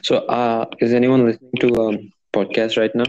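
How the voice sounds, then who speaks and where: A man's voice: mid-range voice, little pitch movement, moderate pace. A nineteen-year-old man from Dubai co-hosting a casual podcast over a call, easygoing and agreeable. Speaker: podcast co-host, engineering student. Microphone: call audio. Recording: dull call audio with faint noise.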